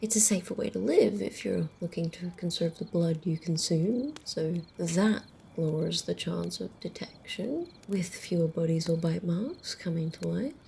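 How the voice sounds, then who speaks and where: A woman playing a centuries-old female vampire in an ASMR roleplay, wry, teasing and casually menacing, in a soft, breathy, often whispered voice. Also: lowish voice, slight background noise. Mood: calm, explaining. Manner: unhurried, amused, conversational.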